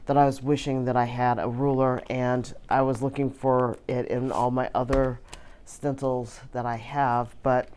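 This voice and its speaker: A hoarse woman chatting casually through an unboxing, with many filler words. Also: rumbling low, darkish tone. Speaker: vlogger.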